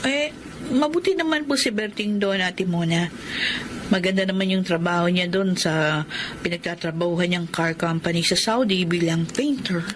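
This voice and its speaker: A woman's voice: lowish voice, moderate pace, a little hiss or hum, close to an everyday voice. A woman acting a devoted, put-upon young stepmother in an emotive Filipino radio drama. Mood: wistful. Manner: earnest, emotive.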